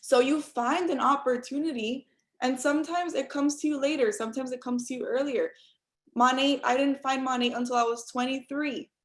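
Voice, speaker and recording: A woman's voice: high-pitched, fairly even intonation, normal speed. A young adult woman from Cuba giving an earnest motivational talk on a group call, speaking straight to her listeners. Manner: earnest, direct, addresses listeners as you all. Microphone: call audio.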